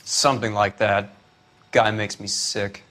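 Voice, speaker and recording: Blunt, surly railyard cop. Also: male voice, fairly low pitch, flat delivery, measured pace, fairly bright tone. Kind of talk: video game voice acting.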